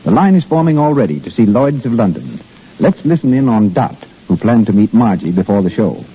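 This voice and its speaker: A man's voice: lowish voice, big pitch swings, a little fast, a little hiss or hum. A man with an American accent announcing and reading scripted advertising in 1930s radio style. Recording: muffled old radio broadcast.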